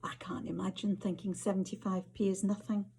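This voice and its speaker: A woman with a Scottish accent reading short stories aloud as an author, her voice husky. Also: medium pitch, flat delivery, brisk, dark tone. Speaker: author reading their fiction.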